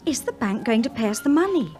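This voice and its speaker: Sharp-tongued English mistress. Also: female voice, fairly high pitch, very animated, fast talker, faint music behind. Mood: suspicious. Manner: challenging, clipped. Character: cool, sceptical mistress and accomplice, sharp-tongued. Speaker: actor in a radio play. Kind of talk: British radio drama acting.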